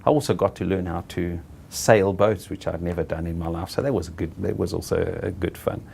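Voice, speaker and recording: A middle-aged South African man being interviewed, telling his own story in an unhurried, halting way and often correcting himself. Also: deep voice, steady tone, gravelly, a little mellow, a little hiss or hum. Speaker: interviewee, property director. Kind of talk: reflective personal storytelling.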